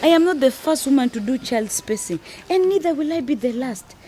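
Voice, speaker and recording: A determined young wife in a radio drama. Her voice is high and lively, persuasive and assured, with some ambient noise behind it. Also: brisk. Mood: resolute. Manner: assured, emphatic. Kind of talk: educational radio drama acting.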